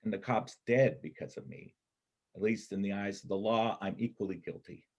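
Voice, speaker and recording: A man acts out a hardened bank robber and war veteran in a stage reading. The voice is lowish and husky, with blunt, sardonic, streetwise phrasing and a cynical edge. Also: steady tone, normal speed, darkish tone, close to an everyday voice. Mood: wry, guarded. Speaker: amateur church play performer. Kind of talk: reader's theater performance.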